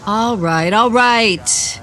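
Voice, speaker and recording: Tough rookie cop, blunt, medium pitch, noir audio drama, bit of music. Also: female voice, very animated, very slow, bright, forceful tone, heavily acted. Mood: threatening, impatient. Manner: blunt, challenging. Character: tough, no-nonsense young female rookie detective.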